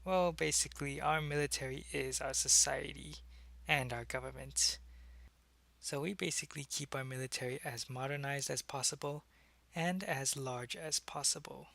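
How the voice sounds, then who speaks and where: A man playing a secretive, soldierly alien changeling in an ASMR roleplay, speaking to the listener in a halting, conversational way. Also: medium pitch, fairly even intonation, creaky, sharp tone. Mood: matter-of-fact. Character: alien changeling spy posing as human, loyal, secretive, soldierly.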